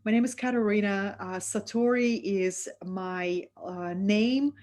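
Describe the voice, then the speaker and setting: A woman from Russia with a Russian accent, hosting a live-streamed spiritual talk and speaking softly and affectionately to her viewers. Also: medium pitch, slow pace. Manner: soft, affectionate, reads out viewer comments.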